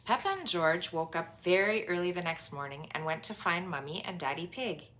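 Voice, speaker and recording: A woman with a bright, fairly low voice reads a picture book aloud. Her delivery swings widely in pitch, and she stresses and stretches words for young listeners. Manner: animated, emphatic, drawn-out words for young listeners. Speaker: storyteller reading a children's book aloud. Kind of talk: expressive children's read-aloud with asides.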